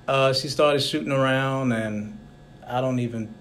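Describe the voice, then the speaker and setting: A young man with a mid-range voice talks through an old memory before turning to his questions. He rambles gently and reflects as he goes. A faint hiss sits under the recording. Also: medium pitch, slow. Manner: rambling, reflective, soft-spoken. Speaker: interviewer. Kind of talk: casual conversational interview.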